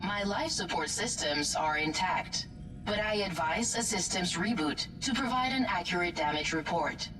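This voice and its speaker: A woman voicing a spaceship's artificial intelligence in a sci-fi game, formal, measured and detached. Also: on the low side, expressive, far from the mic, over music, lightly acted, rough recording. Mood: calm, detached. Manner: formal, measured, clipped announcements. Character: calm female ship's computer AI, formal and detached. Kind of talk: video game voice acting, sci-fi horror.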